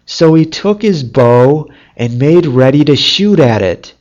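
A storyteller reading a fairy tale as scripted narration in a measured, deliberate way. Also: medium pitch, lively intonation, a little mellow, muffled recording.

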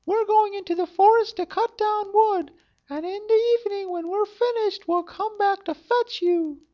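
A man voicing a scolding, deceitful fairy-tale mother in an exaggerated falsetto. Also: moderate pace, lo-fi sound. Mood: coaxing.

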